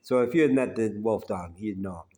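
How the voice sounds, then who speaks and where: A man telling personal stories in an unhurried, conversational way, pausing often. He has a naturally loud voice. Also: on the low side. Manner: unhurried and conversational, with frequent "uh" pauses. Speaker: vlogger telling life stories. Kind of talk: rambling personal storytelling.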